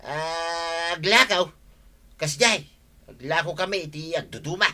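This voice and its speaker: A man's voice: on the high side, unhurried, bright, forceful tone. An actor playing a sly, boastful married man in a Filipino radio drama in Ilocano, with a cocky, chuckling delivery. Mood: cagey, boastful.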